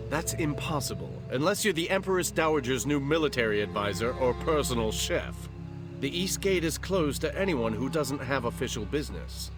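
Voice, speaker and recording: A man's voice: medium pitch, lightly acted. A man playing an earnest, exasperated rebel ally in a video game, speaking with an incredulous, urgent manner. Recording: music behind the voice.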